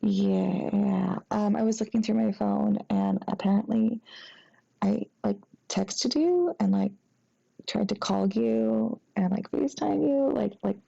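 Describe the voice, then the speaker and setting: A young woman playing a sheepish, apologetic ex-girlfriend in an intimate audio roleplay, talking haltingly and rambling with embarrassment in a soft voice. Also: medium pitch, vocal fry, husky, dull audio, exaggerated voice. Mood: sheepish, hesitant. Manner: halting, rambling, embarrassed, breaking into nervous giggles. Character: sheepish, flustered, apologetic young ex-girlfriend after a drunken night. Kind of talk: intimate acted phone-call roleplay.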